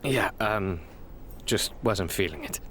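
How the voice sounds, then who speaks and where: A subdued, reticent wrestler in a game cutscene. His low voice sounds guarded, and there is clear noise in the background. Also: animated, slow, fairly bright tone. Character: reticent, downcast male pro wrestler. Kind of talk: video game cutscene dialogue.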